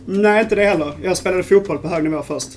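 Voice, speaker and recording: Male producer guest, higher register, informal and modest, faint music behind. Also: fast talker. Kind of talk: casual interview answers. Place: music studio.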